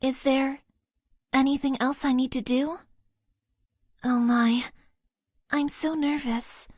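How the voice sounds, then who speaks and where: A woman voicing a formal, emotionally awkward young female mecha pilot in a dating game, earnest and deadpan with nervous stammers. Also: high voice, slow pace, no echo, studio quality. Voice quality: stiff, overly formal phrasing. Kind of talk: game voice lines. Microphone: close studio mic, dry.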